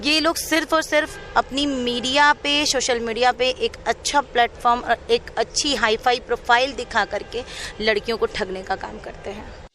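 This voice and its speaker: Woman, higher-pitched voice, earnest, detailed personal storytelling, interviewee, light outdoor street noise. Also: steady tone, normal speed, fairly bright tone. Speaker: interviewee telling her own account. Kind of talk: unscripted personal account in an interview.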